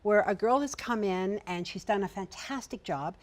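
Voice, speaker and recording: A woman with a young-sounding voice, a writer and producer telling irreverent stories on a discussion panel, energetic and quick to laugh. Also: mid-range voice.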